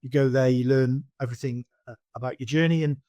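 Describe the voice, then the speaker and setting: A man's voice: mid-range voice, steady tone, fast, mellow, no room sound. Chatty Welshman in his forties. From Wales. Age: 40s. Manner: rambling, many fillers and restarts, laughs often. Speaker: podcast guest, poker pro. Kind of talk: casual interview chat.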